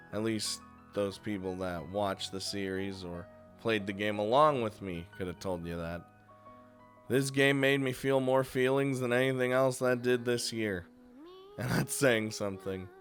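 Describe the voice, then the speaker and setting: A man giving a casual countdown review of games as host, talking informally and chuckling often between phrases. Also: fairly low pitch. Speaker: host of a video game review series. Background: music.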